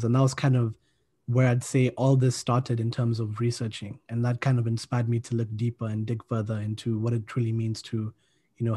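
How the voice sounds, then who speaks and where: Guy being interviewed, really soft mellow tone, explains stuff casually and measured. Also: mid-range voice. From Kenya. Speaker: interview guest.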